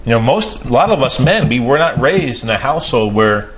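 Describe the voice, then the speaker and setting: A man's voice: lively intonation, brisk, low-quality recording. A man in his forties preaching a sermon in a small room, emphatic and repeating key phrases for weight. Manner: emphatic, repeats key phrases, pitch rises and falls sharply.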